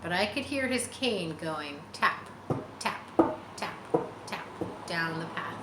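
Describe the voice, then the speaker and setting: A woman's voice: on the low side, lively intonation, takes it slowly. A woman in her forties reading a children's book aloud, dramatically and with explanatory asides for young listeners. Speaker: storyteller. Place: bright room by large windows. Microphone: camera a short distance away. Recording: roomy, some background noise.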